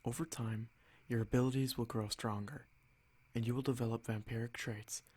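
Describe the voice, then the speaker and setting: A man playing a noble vampire lord in an ASMR roleplay, haughty and possessive, speaking in a measured, condescending, softly threatening way. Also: medium pitch, fairly even intonation, slightly rough, lightly acted. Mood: explaining, condescending.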